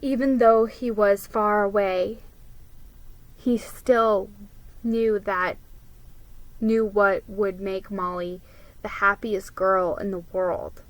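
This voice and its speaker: Woman, storybook narrator, slow pace, bright tone, dry close sound. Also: mid-range voice, steady tone, no room sound, poor audio. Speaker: storybook reader. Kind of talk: dramatic reading of a children's story.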